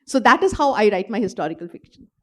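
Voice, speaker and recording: A woman's voice: medium pitch, very animated, quick pace, a little hiss or hum. A woman author, earnestly explaining her writing process at a literature festival panel. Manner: explanatory, earnest, uses "you know" fillers. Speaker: author answering audience questions. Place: event hall with audience.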